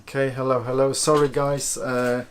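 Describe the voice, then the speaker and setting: A man's voice: mid-range voice, little pitch movement, normal speed, a little hiss or hum. A man from Poland with a Polish accent, answering viewer questions on a live stream in a loose, chatty way full of fillers and restarts. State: sweating, warm room. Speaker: host.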